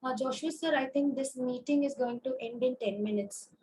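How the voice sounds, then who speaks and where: A woman with an Indian English accent giving a webinar lecture as a physiotherapist, hoarse from a throat infection, explaining with many fillers and restarts. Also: high voice, normal speed, darkish tone, rough recording. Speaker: consultant physiotherapist. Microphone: video call.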